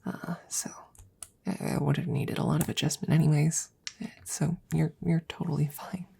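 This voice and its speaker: A woman playing an anxious, devoted scientist in an intimate ASMR roleplay, rambling haltingly in a soft, breathy voice that often slips into whispers, close to the microphone. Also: sits low, level tone. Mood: sheepish, excited.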